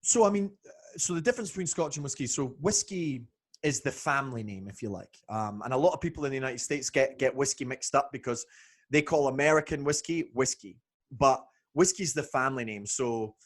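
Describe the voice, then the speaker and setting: A man's voice: mid-range voice, lively intonation, brisk, no room sound, studio quality. Easygoing Scottish man, chatty. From Scotland. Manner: chatty, easygoing, many fillers. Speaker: whiskey brand ambassador, guest. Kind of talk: casual interview. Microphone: video call.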